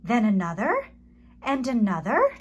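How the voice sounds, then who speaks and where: A woman storyteller reading a children's story aloud in an expressive, deliberate manner. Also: on the high side, breathy edge, lo-fi sound.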